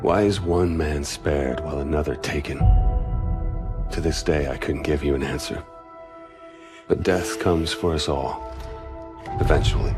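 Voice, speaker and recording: An actor playing a grieving male soldier who narrates his story in a somber, measured, reflective way for a cinematic game. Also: extremely low pitch. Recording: music behind the narration.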